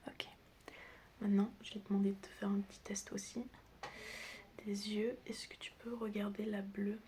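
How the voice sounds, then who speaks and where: A woman's voice: mid-range voice, little pitch movement, moderate pace. Female ASMR role-player, mostly whispering, chatty and teasing best-friend delivery, recorded with noticeable noise and clicks behind her. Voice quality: breathy whisper mixed with soft speech. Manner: teasing, chatty, familiar, addressing the listener directly. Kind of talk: whispered ASMR roleplay, close personal attention. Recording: clear background noise with clicking sounds.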